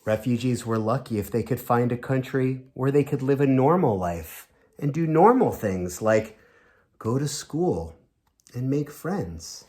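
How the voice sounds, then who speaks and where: Guy teacher doing storytime for little kids, super expressive, voice goes up and down a lot, mid pitch. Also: measured pace. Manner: expressive, child-directed, asks the listener simple questions. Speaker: teacher reading a picture book to children. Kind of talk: storytime read-aloud with questions to young children.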